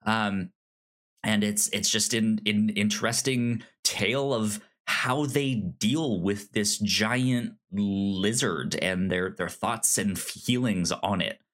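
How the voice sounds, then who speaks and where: A podcast co-host in a casual film discussion, talking informally and haltingly with repeated stammered starts. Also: medium pitch, fairly bright tone.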